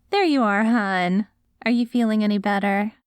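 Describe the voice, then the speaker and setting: Sweet, unhurried doting wife, acted by a woman. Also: medium pitch, expressive, smooth, no room sound. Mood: cheerfully coaxing. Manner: sweet, patient, coaxing, caregiver-like. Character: doting wife caring for her ghoul husband after apocalypse. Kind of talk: ASMR roleplay audio drama.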